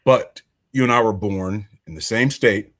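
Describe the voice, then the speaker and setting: A man from Alabama hosts a podcast in a deep, low voice. He speaks emphatically in a loose, conversational way and sometimes restarts his sentences. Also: normal speed, fairly bright tone. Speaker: podcast host. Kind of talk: podcast hosting and interviewing.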